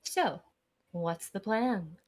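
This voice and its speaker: A woman's voice: medium pitch, drawn-out words, a little mellow. Woman playing a wary, sardonic fugitive. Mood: wry, suspicious. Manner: dry, sarcastic, confrontational. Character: wary, sardonic fugitive who sizes people up. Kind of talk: amateur visual novel read-aloud acting.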